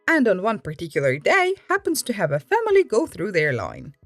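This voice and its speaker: Lively, expressive woman storyteller, close dry sound. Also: mid-range voice, fairly quick, no echo, soft background music. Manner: expressive, acts out quoted remarks. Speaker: story reader and commentator. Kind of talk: storytelling with reaction commentary.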